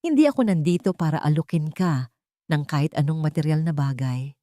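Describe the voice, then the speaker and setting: A woman's voice: deep voice, animated, brisk, warm tone, dry recording, clean studio sound. A woman voicing a remorseful, wealthy male CEO who pleads humbly and earnestly in a romantic audio drama. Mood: earnest vowing.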